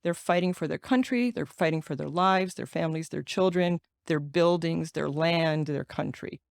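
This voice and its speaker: A woman's voice: sits low, moderate pace, creaky, no echo, clean studio sound. A middle-aged American woman who grew up speaking Ukrainian first, answering interview questions earnestly and stressing points by repeating words. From United States. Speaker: guest, patient advocate.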